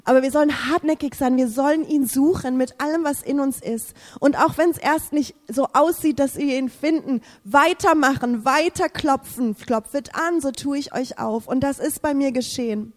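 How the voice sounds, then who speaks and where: A woman's voice: sits high, moderate pace, few pauses, long reverb tail. A woman, not a native German speaker, giving an emotional faith testimony, fervent and repetitive, sometimes searching for words. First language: English.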